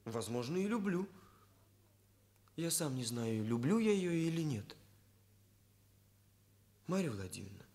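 A man's voice: fairly high pitch, darkish tone. An actor playing a self-serious young male hairdresser in a Soviet radio drama, with formal, bookish phrasing. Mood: earnest, puzzled. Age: young adult.

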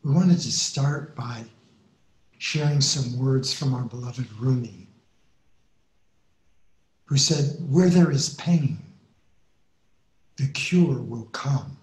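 A man speaking in a measured, reverent way with long pauses, reciting poetry and welcoming listeners to a guided meditation. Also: medium pitch, darkish tone, reverberant, poor audio.

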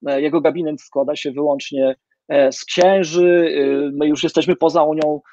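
A man's voice: fairly high pitch, steady tone, normal speed, mellow, no room sound. A middle-aged man interviewing a guest over a video call, hesitant with many fillers and restarts. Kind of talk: hesitant literary interview questions. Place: home room with bookshelves. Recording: dull lo-fi video call audio.

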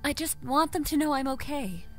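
A woman's voice: high-pitched, lively intonation, moderate pace. An actress playing a distressed, pleading captive woman in an audio drama, emotional and defiant. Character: distressed, pleading female captive insisting she is human. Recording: music under the voice.

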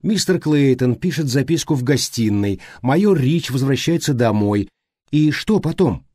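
A man acts out an older male detective from an audiobook. The voice is mellow and very lively in pitch. He is inquisitive and insistent, reasoning aloud with emphatic rhetorical questions. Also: moderate pace, husky, no echo, clean studio sound. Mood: probing. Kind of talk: audiobook character dialogue.

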